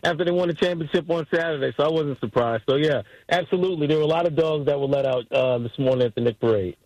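A man's voice: a little high, fast talker, a little mellow, no room sound, muffled recording. A man from Brooklyn with an American accent, chatting casually and animatedly as a sports fan, repeating himself in bursts. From Brooklyn, New York. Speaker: radio producer, guest sports fan. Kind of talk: casual chat.

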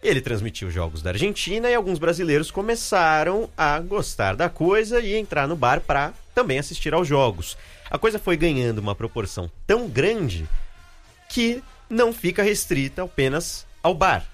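An animated man reporting live from the field, his voice fairly high and swinging widely in pitch. Faint music sits behind him. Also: moderate pace.

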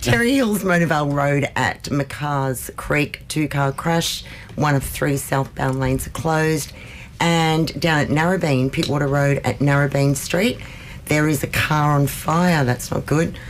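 A woman radio host with a deep, raspy voice, chatting cheerfully and reading out traffic updates. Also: measured pace. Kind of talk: community radio hosting.

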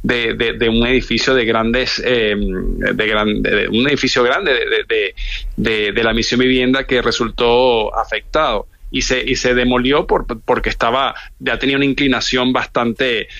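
A man with a Venezuelan accent giving an unscripted live news report, halting and repeating words as he searches for phrasing. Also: medium pitch, steady tone, vocal fry, bright, forceful tone, no room sound. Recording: dull, narrow-band remote audio link.

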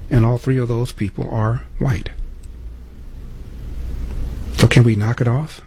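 A man's voice: medium pitch, flat delivery, measured pace, a little mellow, slight background noise. An American man hosting political commentary, delivering an opinionated monologue with emphatic rhetorical questions.

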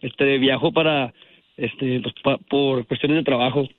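A man's voice: medium pitch, steady tone, unhurried, dry recording, narrow, tinny audio. A man from El Paso, Texas, calling in to a radio show, speaking hesitantly with lots of fillers. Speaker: caller.

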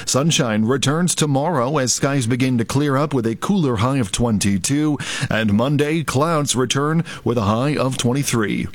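A man's voice: medium pitch, normal speed, a little hiss or hum. A man reading a radio weather forecast steadily. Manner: plain, steady forecast delivery. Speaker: weather reader.

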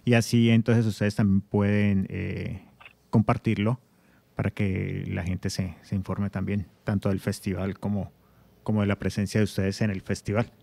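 A man hosting a radio interview, relaxed and hesitant, thinking aloud with fillers. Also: on the low side, moderate pace, vocal fry, no echo. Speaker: radio host. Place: radio studio.

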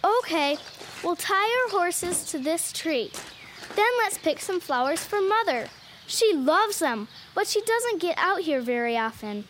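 Lady doing a little horse girl, super high lively voice, sure of herself, kids radio play, bit of music under. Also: measured pace, a little hiss or hum, exaggerated voice. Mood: cheerful. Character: confident, self-reliant young country girl who rides horses.

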